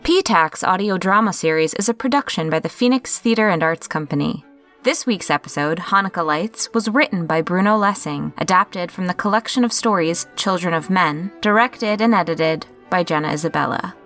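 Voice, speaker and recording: Lowish-voiced woman announcer. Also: moderate pace, a bit bright, faint music behind. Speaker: credits announcer. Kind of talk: scripted credits announcement.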